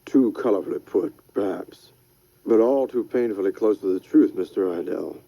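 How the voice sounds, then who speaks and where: A man voicing a formal, soft-spoken man who speaks gravely and with measured politeness. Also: fairly low pitch, no room sound, low-quality recording.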